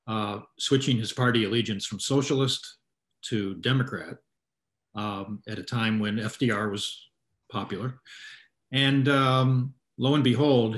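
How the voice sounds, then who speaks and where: Halting older man, low voice, roomy sound. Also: fairly bright tone. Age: 60s or older. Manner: halting, hesitant delivery with trailing phrases. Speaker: author, interview guest. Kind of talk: explanatory interview talk. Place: small room at home, indoors. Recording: roomy, echoey video call sound.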